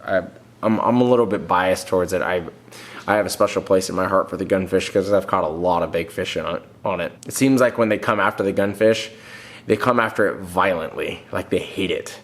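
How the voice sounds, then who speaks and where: A man's voice: sits low, brisk, fairly bright tone. A man in his thirties hosting a fishing vlog, answering viewer comments in a plain, rambling way, sounding nasal from a cold. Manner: plain-spoken, restarts often, reads comments aloud. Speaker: fishing channel host. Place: home room, indoors.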